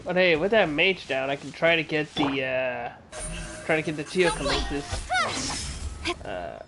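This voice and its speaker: Man streaming a game, laid-back muttered commentary, game music loud behind. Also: fairly high pitch, unhurried. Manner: relaxed, chuckling, muttering. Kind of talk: casual gameplay commentary.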